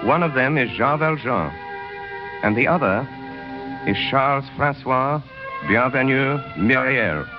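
Actor as grave 1930s radio drama narrator. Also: male voice, medium pitch, a little slow, lightly acted. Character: grave storyteller of a classic French novel. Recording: muffled 1930s radio recording, music behind.

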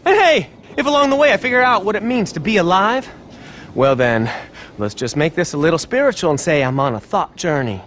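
A man's voice: sits high, expressive, brisk, fairly bright tone, a little hiss or hum. A man playing a cocky, intense extreme sports bro in a video game, boastful and goading in his talk. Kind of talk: video game cutscene dialogue.

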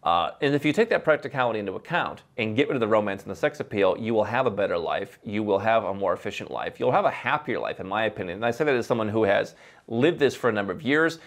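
A man in his thirties talks straight to the camera in a dim, book-lined studio set. He is animated and opinionated, and he uses his hands and fires off rhetorical questions. The voice is close and clean on a studio mic. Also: on the low side, quick pace. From Ohio, USA. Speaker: host. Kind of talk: opinionated talking-head commentary. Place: dim indoor library set.

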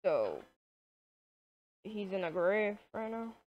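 A woman's voice: mid-range voice, sing-song, slow, lo-fi sound. A woman voicing an awkward, flirty boss man in an improvised game roleplay, talking hesitantly. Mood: sympathetic.

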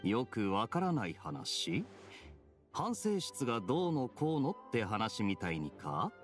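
An actor playing a suave, teasing young knight in an anime game, laid-back and wry. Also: male voice, mid-range voice, wildly swooping pitch, measured pace, soft background music. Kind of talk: Japanese anime game acting.

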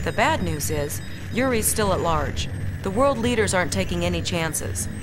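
A woman's voice: on the low side, lively intonation, normal speed, background noise, close to an everyday voice. Woman playing a formal young military lieutenant. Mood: serious briefing. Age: young adult. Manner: formal, earnest briefing delivery. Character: crisp, dutiful young female military lieutenant. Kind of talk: live-action video game cutscene acting. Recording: music behind the voice.

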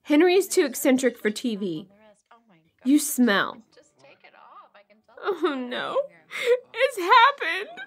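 High, distraught, jealous woman. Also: sing-song, slow, long pauses, fairly bright tone, full character voice. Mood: hurt, accusing. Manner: sobbing, pleading, wounded and emotional. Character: Julie, distraught jealous wife or girlfriend, tearful and accusing. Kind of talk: audio horror drama acting.

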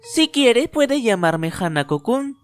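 A man voicing a playful, teasing boy ghost in a fan dub, swinging from low murmurs to loud lines. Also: very animated, slow, exaggerated voice. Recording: faint anime music behind.

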